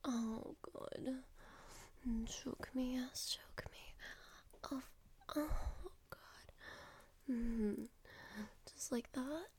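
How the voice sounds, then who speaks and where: Slow, whispering young woman with vocal fry. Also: high voice.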